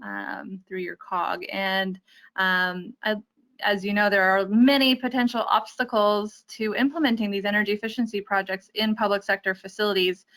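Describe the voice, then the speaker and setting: Female host, bright forward voice, mid pitch. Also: fairly even intonation, moderate pace. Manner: friendly questions with fillers. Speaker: interviewer. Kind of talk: interview host asking questions.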